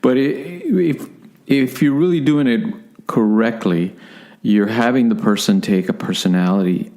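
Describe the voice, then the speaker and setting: A man co-hosting a relationship podcast as the psychology expert, explaining terms in a measured way. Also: mid-range voice, darkish tone.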